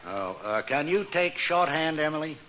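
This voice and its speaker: A man's voice: a little high, expressive, normal speed, sharp tone. Wry American father, swooping intonation. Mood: mildly puzzled. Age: middle-aged. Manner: sarcastic, wry, hesitant. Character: dry, skeptical middle-aged father. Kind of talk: 1940s radio comedy acting. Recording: muffled, noisy 1940s radio recording.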